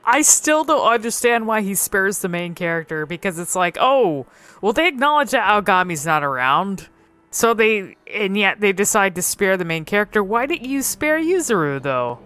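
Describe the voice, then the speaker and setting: A woman giving casual, unscripted game commentary, thinking aloud haltingly and swearing freely. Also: mid-range voice, sing-song, fairly bright tone, clean tone, no echo.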